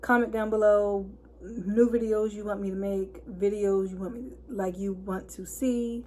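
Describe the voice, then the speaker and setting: A hoarse woman of twenty-one, chatting casually to camera and packing her talk with fillers. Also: mid-range voice, fairly even intonation, moderate pace, darkish tone, a little hiss or hum. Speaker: vlogger. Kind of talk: casual story time chat.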